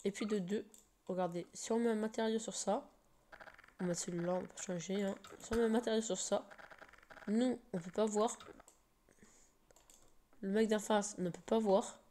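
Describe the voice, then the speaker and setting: Woman with a slight cold, dark-toned voice, halting casual tutorial delivery at a desk mic. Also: mid-range voice, steady tone, slight background noise. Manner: hesitant, full of fillers and restarts. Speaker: game tutorial maker. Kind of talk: casual screen-recorded game tutorial.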